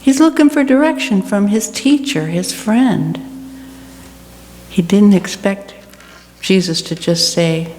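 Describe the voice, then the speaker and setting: Slow-speaking woman preaching in an echoey hall. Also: medium pitch, darkish tone. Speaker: guest speaker giving a church reflection. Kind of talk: personal sermon reflection to a congregation. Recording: echoey hall with clear background noise.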